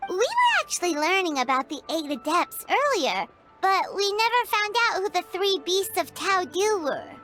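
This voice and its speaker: A woman voicing a small, excitable, cheeky fairy companion in an animated game, with a squeaky childlike voice. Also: moderate pace. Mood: surprised, curious. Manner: perky, refers to self in third person. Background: prominent background music.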